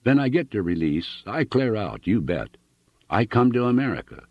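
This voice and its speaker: A sentimental, proud German immigrant farmer in middle age, as a male narrator puts him on, speaking thick German-accented broken English. The voice is deep and husky, with a lively rise and fall. The recording sounds dull and dry. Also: normal speed, dry recording. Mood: reminiscing.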